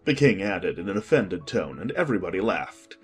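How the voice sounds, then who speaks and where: A male narrator reads a storybook in a calm, measured voice. His tone is slightly husky and breathy. Soft music plays underneath. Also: low-pitched. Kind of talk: audiobook narration.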